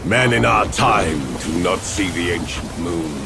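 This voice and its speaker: An actor playing a grave storyteller of ancient legends, declaiming solemnly and theatrically in archaic language. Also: male voice, low voice, unhurried, gravelly, noisy background. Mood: solemn, portentous. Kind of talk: dramatic scripted storytelling, archaic diction. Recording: loud music under the voice.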